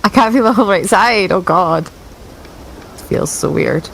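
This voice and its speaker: A woman's voice: medium pitch, moderate pace, gravelly, a bit bright, a little hiss or hum, rough recording. A woman playing an injured, rueful commander. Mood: shaken. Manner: shaken, self-reproaching.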